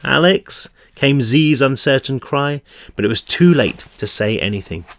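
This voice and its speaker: A man with a croaky, fading voice reading his own novel aloud in a steady storytelling manner, with chatty asides. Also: medium pitch, moderate pace. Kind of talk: audiobook-style read-through.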